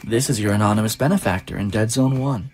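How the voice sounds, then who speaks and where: A man playing a composed anonymous benefactor in an audio drama, speaking in a formal, measured and cryptic manner. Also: on the low side, a little mellow, lightly acted.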